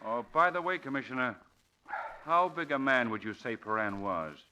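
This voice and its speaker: A man playing an assured, authoritative gentleman detective, in crisp 1940s radio acting style. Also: medium pitch, lively intonation, moderate pace. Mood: investigating. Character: calm, authoritative gentleman sleuth. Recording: noisy old 1940s radio recording.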